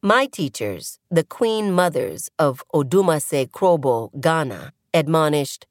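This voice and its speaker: A woman reading a scripted audio essay in a measured, earnest manner. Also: deep voice, big pitch swings, no room sound, clean studio sound.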